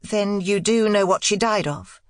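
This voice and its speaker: A woman's voice: medium pitch, a little slow, no echo, studio quality, natural voice. A woman voicing an intense, determined young governess in an audiobook, speaking in emphatic, broken phrases with urgent insistence. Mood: grave. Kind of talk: audiobook character dialogue.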